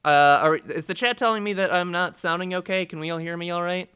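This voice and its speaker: A man hosting a casual livestream in a crisp, bright voice, pitched a bit high. He is informal and enthusiastic as he chats and introduces things. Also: fast talker. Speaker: host of a listening livestream.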